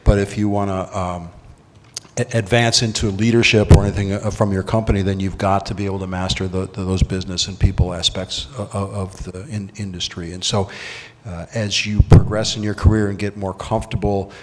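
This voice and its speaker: A man on a conference panel giving earnest, measured career advice. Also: low-pitched, level tone. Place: conference hall. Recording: roomy hall with faint noise.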